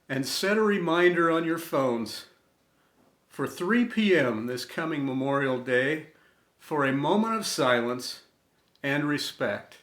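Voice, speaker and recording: Slow, earnest American man, echoey room. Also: on the high side, a bit bright. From United States. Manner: earnest, reverent, deliberate phrasing with dramatic pauses. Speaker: storyteller reading own inspirational tribute. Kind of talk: scripted inspirational spoken tribute.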